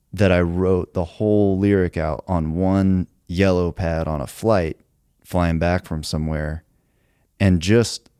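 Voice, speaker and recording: Dude with a super deep smooth voice, musing about songwriting, lots of "like", dry close sound. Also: male voice, dark tone, no echo. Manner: reflective, thinks aloud with many "like"s. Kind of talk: casual podcast conversation.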